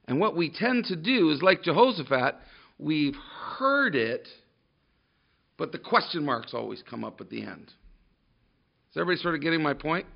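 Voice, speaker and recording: A man preaching loudly and emphatically to a congregation, often breaking off and restarting his sentences. His voice sits a little high and has a gravelly edge. It comes through a dull, lo-fi sermon recording. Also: lively intonation, normal speed, long pauses. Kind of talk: sermon with scripture reading. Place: church room with a congregation.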